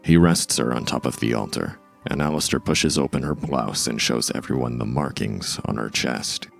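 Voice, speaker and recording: A man's voice: very deep voice, moderate pace, creaky, faint music behind. A man reading scripted dramatic narration as the narrator of an audio story.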